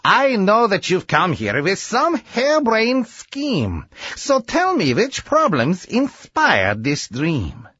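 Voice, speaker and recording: A man voicing a clever, brusque but generous male scientist inventor in a rhyming storybook tale, with a brisk, knowing manner. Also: high voice, huge pitch swings, sharp tone, slightly rough, dry recording, studio quality, pitched above the performer's own voice, exaggerated voice.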